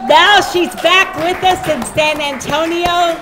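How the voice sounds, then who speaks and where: A woman's voice: extremely high pitch, steady tone, slow, noisy background. A woman hosting a live event, introducing performers to a seated audience. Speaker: event host introducing poets. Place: large meeting room before a seated audience.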